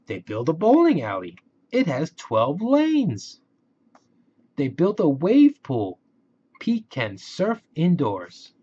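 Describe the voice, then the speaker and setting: A man reading a children's story aloud in a simple, expressive way, on a slightly dull home recording. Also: a little high, slow pace, husky. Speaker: picture book reader.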